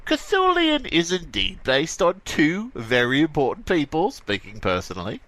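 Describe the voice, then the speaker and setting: A man voicing a pompous children's author who speaks with halting, affected dignity in a comedy sketch. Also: fairly high pitch, wildly swooping pitch, a bit bright, no room sound, voice pushed up, heavily acted. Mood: smug, grandiose. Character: pompous children's author defending absurd books.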